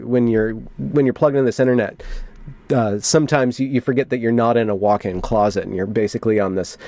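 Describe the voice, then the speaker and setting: A man's voice: medium pitch, a little mellow, no room sound. A man giving wry commentary on internet culture as a video host, turning mock-dramatic when he reads things aloud. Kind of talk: commentary on internet culture, reading out a post and reacting.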